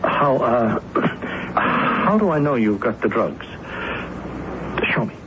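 A man's voice: mid-range voice, sing-song, takes it slowly. A man playing a smug, evasive scoundrel in a radio drama, sardonic and hesitant. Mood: wary, cornered. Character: male scoundrel, smug, evasive drug dealer and killer. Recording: muffled, noisy old radio recording.

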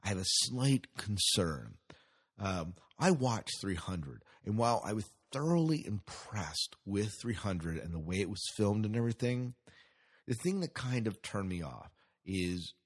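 A man's voice: on the low side, sing-song, a little slow, breathy edge. A man hosting a podcast with casual solo commentary, rambling and hesitant, often repeating his phrases. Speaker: podcast host.

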